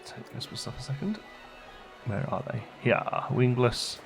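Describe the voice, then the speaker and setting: A man giving casual, low-key gameplay commentary as a video host, thinking aloud and muttering to himself as he works. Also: very animated, unhurried, creaky, soft background music. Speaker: let's play video host.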